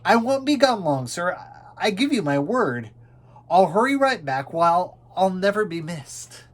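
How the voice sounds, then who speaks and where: Man putting on a high-pitched, wheedling lady bird. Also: big pitch swings, unhurried, heavily acted. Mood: pleading. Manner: wheedling, sing-song coaxing. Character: flighty, coaxing, breezy female bird. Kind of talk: children's storybook character reading.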